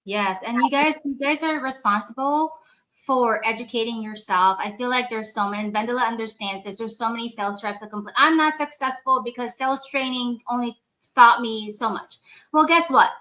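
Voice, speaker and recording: Woman podcast host, non-native English speaker, bright tense voice, higher pitch, emphatic and lecturing. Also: moderate pace. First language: not English. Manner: emphatic, lecturing, addresses listeners directly. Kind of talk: motivational podcast talk.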